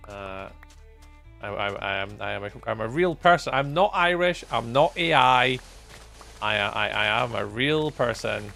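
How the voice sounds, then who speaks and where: A man's voice: mid-range voice, expressive, unhurried, creaky, pushed and bright, faint music behind. A Scottish man in his forties chatting casually and good-humouredly with viewers while he plays a game. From Scotland. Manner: rambling and good-humoured, with frequent ums and chuckles. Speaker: gaming livestreamer. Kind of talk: casual chat with live viewers while gaming.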